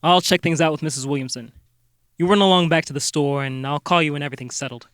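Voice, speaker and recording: An actor playing an earnest, polite small-town amateur detective who talks in a halting, folksy way, in a comic radio-drama style. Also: male voice, a little high, fairly bright tone, lightly acted. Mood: businesslike, halting.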